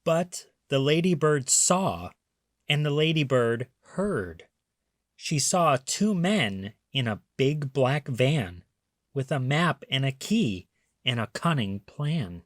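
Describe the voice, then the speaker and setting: Slow, animated children's storybook reader. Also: mid-range voice, dry recording, studio quality. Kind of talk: expressive children's read-aloud narration.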